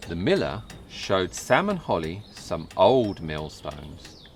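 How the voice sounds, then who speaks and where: A man reading a children's story aloud in a measured, careful delivery. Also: low-pitched, sing-song, slight background noise. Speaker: storybook reader. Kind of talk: scripted children's story narration.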